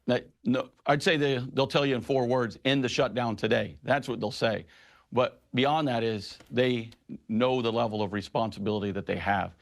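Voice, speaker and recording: A man's voice: medium pitch, steady tone, normal speed, vocal fry. A middle-aged man speaking as a union president in a news interview, making his case with firm, emphatic conviction. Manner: impassioned, emphatic advocacy.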